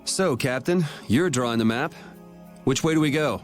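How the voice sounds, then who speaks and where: A man's voice: mid-range voice, lively intonation, moderate pace, natural voice. An actor playing a blunt, sarcastic young swordsman in a game cutscene. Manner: dry, sarcastic, laid-back. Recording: game audio with music behind.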